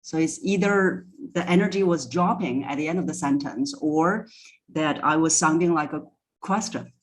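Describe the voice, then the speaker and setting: A woman's voice: deep voice, moderate pace, poor audio. A woman speaking as a guest voice coach, explaining her points in an interview.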